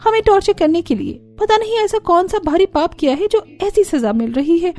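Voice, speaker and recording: A woman's voice: very high-pitched, expressive, moderate pace, a little mellow, soft background music, pitched above the performer's own voice. A woman voicing an aggrieved, eager young daughter-in-law in a cartoon story, complaining and coaxing dramatically. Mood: whining, suffering.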